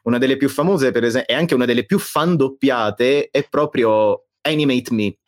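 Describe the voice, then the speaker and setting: A man chatting casually as a guest fan dubber, halting and often correcting himself, with music behind him. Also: medium pitch, dry recording. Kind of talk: casual chat. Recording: dry sound with music behind.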